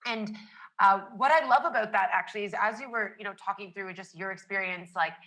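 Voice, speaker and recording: A woman's voice: medium pitch, fairly quick, bright, forceful tone, rough recording. A woman hosting a podcast, summarizing her guest's points enthusiastically with many fillers. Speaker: podcast host. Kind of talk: casual podcast interview.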